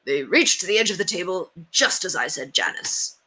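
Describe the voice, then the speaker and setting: A proud storybook knight, tiny but sure of himself, acted out by a woman with formal, pompous phrasing. The delivery is bright and forceful, rising and falling in a lively way. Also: fairly low pitch, moderate pace, breathy edge, exaggerated voice, poor audio. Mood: exclaiming, triumphant. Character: proud, confident tiny knight, adult man.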